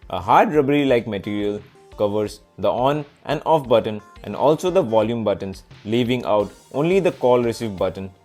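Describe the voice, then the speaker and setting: A man's voice: lowish voice, a little mellow. A man presenting a scripted product review as a video reviewer, in narration style. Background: background music.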